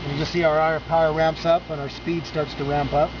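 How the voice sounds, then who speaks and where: Man, equipment demo, flat and steady delivery, somewhat high voice, lo-fi audio with loud machine hum. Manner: halting, matter-of-fact explaining with fillers. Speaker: equipment demonstrator. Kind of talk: technical product demonstration. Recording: dull lo-fi camcorder audio with loud background noise.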